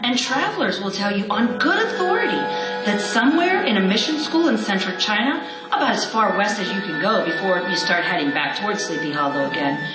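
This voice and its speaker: A woman telling a story in measured scripted narration during a live radio show. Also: medium pitch, expressive, far from the mic. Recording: echoey hall, mic from afar, music.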